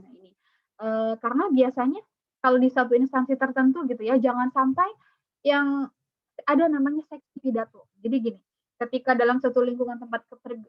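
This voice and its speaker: A woman's voice: a little high, dry recording. Chatty woman lecturer with a light Sundanese accent. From Sundanese region of West Java. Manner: chatty, many fillers and restarts. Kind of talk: online class lecture. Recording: dull, dry lo-fi call audio.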